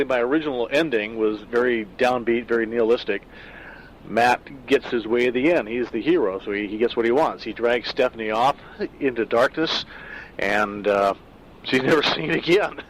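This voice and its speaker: A middle-aged man talks over a story he wrote in a loose, reflective way, pausing on fillers as he thinks aloud. His voice sits at an ordinary middle pitch, with a faint rumble of traffic behind him. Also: rough recording. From Southern California. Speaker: author discussing his own story. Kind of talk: casual spoken afterword.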